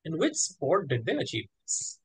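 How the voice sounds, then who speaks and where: A man's voice: a little high, wildly swooping pitch, normal speed, breathy edge, no echo, rough recording. A man hosting a quiz, reading out questions and ruling on the answers. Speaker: quiz master.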